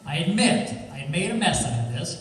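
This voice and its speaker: A man playing a cocky, wisecracking amateur sleuth, breezy even when apologizing, in an old-time radio detective drama. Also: a little high, normal speed. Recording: echoey hall, distant, faint noise.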